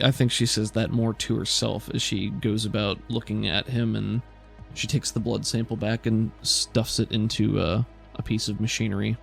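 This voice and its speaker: A man's voice: fairly low pitch, vocal fry. A man narrating an improvised tabletop game as game master, in conversational, hesitant phrasing.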